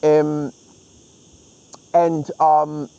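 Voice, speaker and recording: Man casually reviewing, slightly high voice. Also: monotone, extremely slow, vocal fry, dark tone, smooth, lo-fi sound. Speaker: book reviewer and host. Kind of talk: casual, opinionated review talk.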